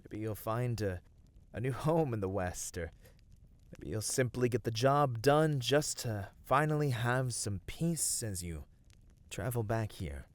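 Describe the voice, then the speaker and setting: A man playing a gentle, caring companion of a fantasy hero in a scripted ASMR roleplay, speaking soothingly and earnestly in formal phrasing. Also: mid-range voice, expressive, a little slow, no echo, natural voice.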